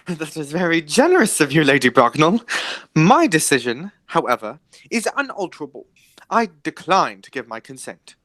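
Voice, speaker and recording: A man playing an indignant, stubborn gentleman guardian in an amateur radio play, formal and emphatic in his delivery. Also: a little high, very animated, moderate pace, no echo. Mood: stubborn, triumphant.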